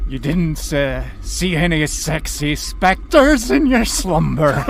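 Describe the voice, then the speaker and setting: An actor playing a bawdy submarine sailor in an audio drama, spinning yarns with a leering swagger. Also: male voice, a little high, very animated, very slow, creaky, a bit bright, a little hiss or hum, exaggerated voice. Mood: leering, teasing. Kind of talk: full-cast audio drama acting.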